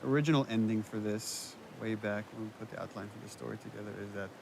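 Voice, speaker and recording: A man's voice: on the low side, steady tone, fast, creaky, a little hiss or hum. A man talking reflectively about a game as its director, in a conversational commentary. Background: game scene audio playing.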